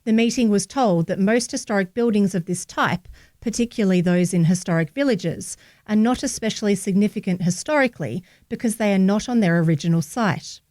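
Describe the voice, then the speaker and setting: A woman's voice: mid-range voice, fairly quick, a little mellow, no echo, clean studio sound. A woman reading a local newspaper article aloud as scripted narration.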